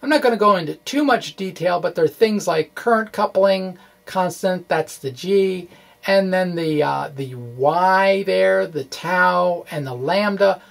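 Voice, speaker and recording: Halting, informal man explaining physics. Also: sits high, vocal fry. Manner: halting, thinking aloud; folksy, colloquial asides. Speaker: physics explainer presenting his own blog.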